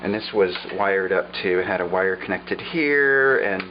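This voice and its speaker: Low-voiced man demonstrating an old radio. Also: highs cut off, a little hiss or hum. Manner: unhurried, thinking aloud with fillers. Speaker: vlogger showing a vintage radio. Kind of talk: casual show-and-tell demonstration.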